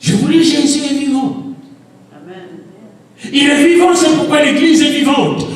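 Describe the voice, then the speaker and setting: High-pitched preacher in an echoey hall. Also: male voice, recorded from a distance. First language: an African language. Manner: preaching with rising shouted refrains. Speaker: pastor. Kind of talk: sermon. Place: church.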